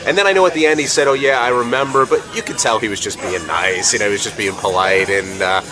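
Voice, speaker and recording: A man who works on a radio show's staff rambles in a chatty, offhand way. Clear music plays behind his voice. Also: mid-range voice, fast, poor audio. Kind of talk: casual commentary.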